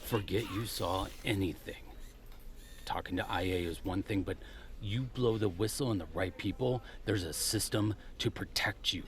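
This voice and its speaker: A man playing a gruff, world-weary cop and loyal partner in a noir audio drama, with a gravelly voice. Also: low-pitched, moderate pace, natural voice. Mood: grim warning.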